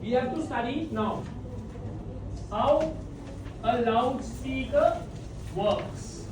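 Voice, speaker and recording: Female classroom teacher, high pitch, methodical repetitive explaining, distant recording. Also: very slow. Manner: repetitive step-by-step explaining, often checking understanding. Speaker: physics teacher. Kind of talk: classroom lecture. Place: large tiled indoor classroom full of students. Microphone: far camera at back of room. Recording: distant, very echoey classroom with noisy room ambience.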